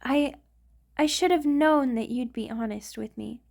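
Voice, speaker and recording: Woman acting a high-voiced, tender vampire lady in love. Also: a little slow, gravelly, exaggerated voice. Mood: adoring, grateful. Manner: tender, hesitant, earnest, gently formal. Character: affectionate, refined vampire queen in love with a human. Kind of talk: ASMR romantic roleplay, scripted monologue.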